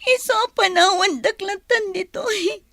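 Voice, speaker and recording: A woman's voice: very high-pitched, measured pace, gravelly, pushed and bright, no echo, studio quality, exaggerated voice. An Ilocano-accented woman playing a remorseful young daughter in a radio drama. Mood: tearful pleading. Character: tearful, remorseful young daughter begging her parents' forgiveness.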